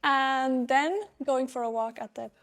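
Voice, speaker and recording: A young woman raised in Norway, working as a real estate agent and answering interview questions in a relaxed, easygoing way. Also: sits high, measured pace. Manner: relaxed, short easygoing replies with light laughs.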